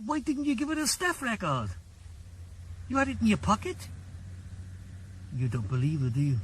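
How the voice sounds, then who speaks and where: An actor playing Yorkie, a jumpy, exasperated Welsh taxi driver in a 1980s radio drama. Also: male voice, sits high, sing-song, low-quality recording. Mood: wary. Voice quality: jumpy, exasperated, quick to protest. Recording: radio drama with traffic noise.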